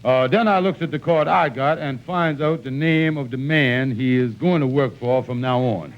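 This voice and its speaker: An actor playing a wheedling, folksy hustler who runs an employment agency, hemming and hawing in a 1940s radio comedy. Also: male voice, mid-range voice, moderate pace, close to an everyday voice. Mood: puzzled. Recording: muffled, noisy 1940s radio recording.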